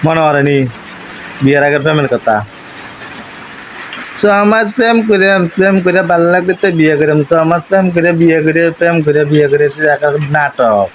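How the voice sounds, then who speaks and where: A man's voice: a little high, a little fast, muffled recording, a little hiss or hum. A young man with an eastern Bengali dialect, chatting casually in a teasing, repetitive way.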